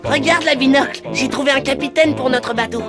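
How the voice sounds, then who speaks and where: A woman voicing a brave, excitable baby boy in a cartoon video game, eager and childlike. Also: high voice, fairly quick, natural voice. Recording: music under the voice.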